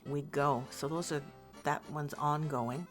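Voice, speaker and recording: A woman's voice: deep voice, fairly even intonation, a little slow, soft background music. A woman being interviewed as a voice actor, chatting casually in a hesitant, rambling way, as if thinking aloud.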